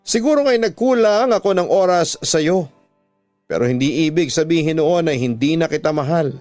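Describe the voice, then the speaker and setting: Man playing a remorseful husband, earnest and pleading, fairly high voice, dry close sound, soft music underneath. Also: lively intonation, moderate pace, no echo, natural voice. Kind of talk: radio drama acting.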